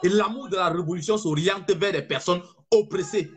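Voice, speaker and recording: A man giving an impassioned political speech as an activist, fervent and preaching, stressing slow phrases. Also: high voice.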